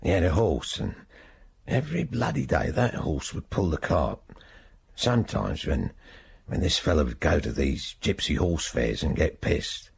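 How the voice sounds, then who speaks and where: An actor with a husky, gravelly voice playing an embittered older ex-prisoner in a radio drama, halting and rambling with blunt turns. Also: male voice, a bass voice, big pitch swings, a little mellow, full character voice. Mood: weary, reflective. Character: embittered ageing ex-prisoner protesting his innocence. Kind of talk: naturalistic radio drama acting.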